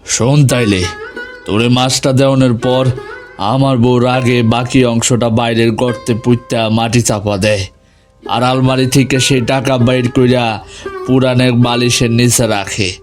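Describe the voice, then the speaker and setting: A man's voice: medium pitch, fairly even intonation, moderate pace, soft background music, natural voice. A man with a Bangladeshi Bengali accent voicing a hot-tempered but loyal village husband and elder brother in a melodramatic cartoon. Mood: angry, stern.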